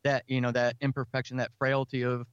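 Smooth-voiced man, earnest podcast guest. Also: mid-range voice, monotone, no echo, highs cut off. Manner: earnest, self-critical, explains at length in halting phrases. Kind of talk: reflective interview talk about craft.

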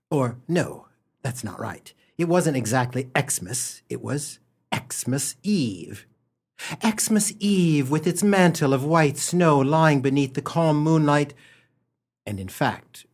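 An actor playing a florid, mock-solemn radio narrator who addresses the listener theatrically. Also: male voice, medium pitch, slightly rough. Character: florid, mock-solemn storyteller, easily exasperated. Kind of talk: comic dramatic storytelling for radio.

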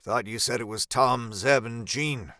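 A tired, ailing rancher voiced by a male narrator grumbles in a lowered, subdued voice. He is gruff and stubborn, with fear under the irritation. The sound is close and dry, as in a dark audio tale. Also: unhurried, no room sound. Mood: weary, stubborn. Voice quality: weak, weary. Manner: gruff, irate. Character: tired, ailing, irritable rancher, scared beneath his anger.

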